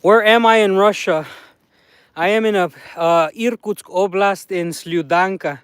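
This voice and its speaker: A chatty Mexican American man from Los Angeles, talking to his live viewers while out by a mountain. His voice sits high and comes through smooth and clean. The phone sound is dry and close. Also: unhurried, fairly bright tone, dry recording. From Los Angeles, San Fernando Valley. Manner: chatty, thanks viewers by name. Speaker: livestream vlogger. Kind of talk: casual livestream chat. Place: outdoors by a mountain slope.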